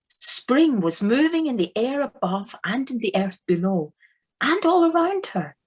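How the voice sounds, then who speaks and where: A woman's voice: medium pitch, breathy edge. A woman narrating a children's story dramatically, as in a storybook audio play. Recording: home video-call recording.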